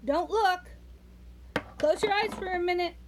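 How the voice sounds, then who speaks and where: A woman reading a storybook aloud to young children, expressive and sing-song, adding playful asides straight to the listener. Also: very high voice, unhurried, background noise.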